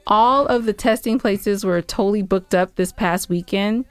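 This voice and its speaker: A woman's voice: medium pitch, moderate pace, clean tone, dry recording. An American woman co-hosting a podcast, chatting casually and giving show updates with playful banter. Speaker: podcast co-host.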